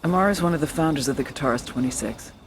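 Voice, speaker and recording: A woman's voice: deep voice, racing. A woman playing a hardened, world-weary American CIA field officer in a video game, speaking in a blunt, matter-of-fact way. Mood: firm briefing. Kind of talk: cinematic video game acting. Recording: music and vehicle noise behind.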